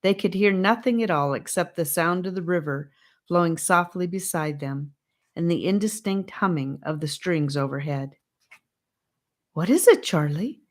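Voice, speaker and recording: A woman's voice: fairly low pitch, moderate pace. A woman reading a children's adventure story as an audiobook narrator, in scripted narration.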